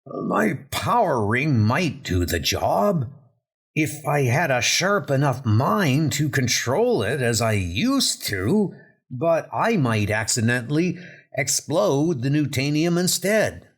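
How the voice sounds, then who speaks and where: A man voicing an aged, weary male superhero who speaks haltingly and doubts his fading powers in a comic dub. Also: medium pitch, very animated.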